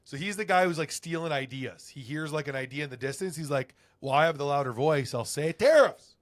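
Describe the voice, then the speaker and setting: A young man co-hosting a podcast, teasing his partner and firing off quick, incredulous reactions. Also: on the high side, dry recording. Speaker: podcast co-host. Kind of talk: casual crude banter.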